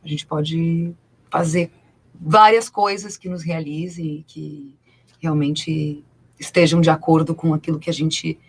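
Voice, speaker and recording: A woman's voice: low voice, steady tone, measured pace, husky, rough recording. A Brazilian woman from Rio Grande do Sul talking conversationally as a studio interview guest, often adding tag questions. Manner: talkative, peppers talk with tag questions. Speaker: guest, actress and producer. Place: radio studio.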